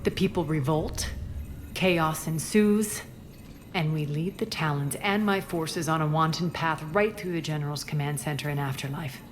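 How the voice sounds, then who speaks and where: Low, imperious alien crime queen, played by an actress. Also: a little hiss or hum, natural voice. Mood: menacing confidence. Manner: haughty, cool, deliberate. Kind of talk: video game voice acting.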